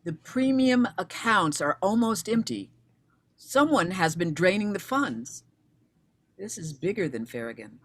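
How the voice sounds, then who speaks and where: A woman's voice: on the low side, very animated, measured pace. A woman acting as a determined, blunt female investigator in a screenplay table read. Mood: focused, urgent. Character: defiant, determined recently widowed female investigator, former accountant.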